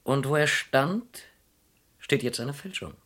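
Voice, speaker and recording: An actor playing a polite, persistent insurance investigator in a German radio crime play, questioning courteously and deliberately. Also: male voice, mid-range voice, animated, a bit bright. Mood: courteous, probing.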